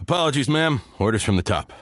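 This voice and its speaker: A man playing a dutiful, unyielding guard in a video game, curt and formal, with a husky voice. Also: medium pitch, animated, fast, no echo, natural voice. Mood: firm, apologetic.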